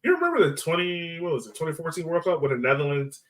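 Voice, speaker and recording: A man's voice: a little high, very animated, fast. Casual man hosting a sports podcast. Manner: informal, conversational, thinks aloud.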